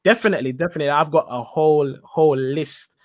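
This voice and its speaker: A man hosting a relaxed interview series. He speaks in a fairly high voice and sounds lively, repeating words for emphasis. The sound is close and dry. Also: quick pace, no room sound. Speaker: host.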